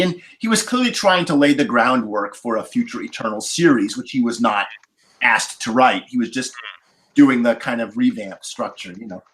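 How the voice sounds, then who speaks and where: A man in a casual podcast roundtable, talking in a rambling, halting way and repeating words as he forms his thoughts. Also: mid-range voice, a bit bright. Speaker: podcast panelist.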